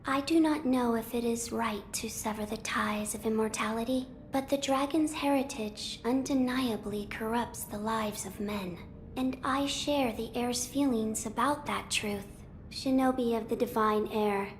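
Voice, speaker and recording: A woman voicing a solemn, serene young holy girl in a video game, measured and formal. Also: fairly high pitch, slight background noise, natural voice. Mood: solemn, sorrowful. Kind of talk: video game cutscene acting.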